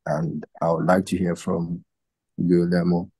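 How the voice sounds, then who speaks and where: A man's voice: low voice, monotone, measured pace, mellow, husky, no echo. A man from Nigeria introducing himself as a participant on an online call. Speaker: community meeting participant.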